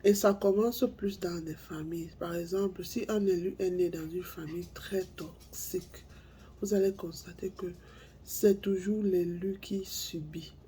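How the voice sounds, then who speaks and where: A woman with a cold speaking to her viewers in a slow, halting monologue. She repeats herself and drops to near-whispers. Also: fairly low pitch, fairly even intonation, dark tone, a little hiss or hum. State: has a cold, congested. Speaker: spiritual speaker.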